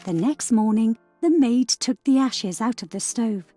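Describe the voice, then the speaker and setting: A woman storyteller narrating a scripted fairy tale for children in a measured, expressive way. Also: fairly high pitch, dry recording, faint music behind.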